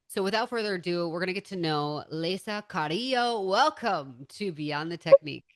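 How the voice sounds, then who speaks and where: A woman's voice: lowish voice, animated, moderate pace, darkish tone, no echo, studio quality. A woman hosting a podcast interview, enthusiastic as she introduces and questions her guest. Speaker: podcast host.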